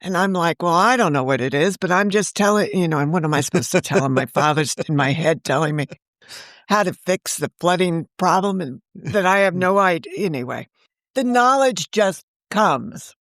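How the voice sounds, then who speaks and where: A woman's voice: on the low side, expressive, normal speed, fairly bright tone, dry recording, studio quality. A woman in her sixties, a novelist guest, talking earnestly in a rambling, casual way with frequent restarts. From United States. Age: 60s. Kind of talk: casual interview conversation.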